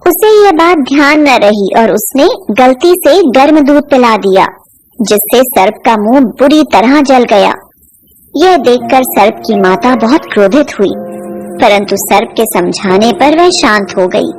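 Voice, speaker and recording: Female storyteller for animated fables; high pitch, slow scripted delivery. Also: low-quality recording. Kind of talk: scripted folk-tale narration for cartoon.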